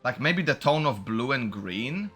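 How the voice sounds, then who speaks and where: Bright-voiced man giving casual game commentary. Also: mid-range voice, lively intonation, a little slow, soft background music. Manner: musing, thinking aloud. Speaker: streamer playing a video game.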